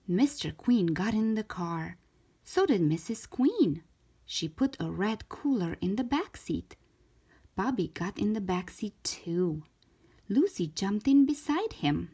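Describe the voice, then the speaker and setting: Expressive woman reading a children's story, lively pitch. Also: husky. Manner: expressive, deliberate, stretches key words. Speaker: children's storybook reader, show host. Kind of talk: read-aloud storytelling for young children.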